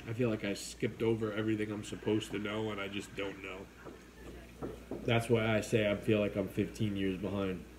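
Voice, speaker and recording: A man's voice: fairly low pitch, little pitch movement, normal speed, background noise. Man in his thirties, loose and profane. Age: 30s. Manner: loose, profane, rambling with restarts. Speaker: co-host of a livestream show. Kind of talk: casual late-night chat with viewers. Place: dim home room at a dinner table.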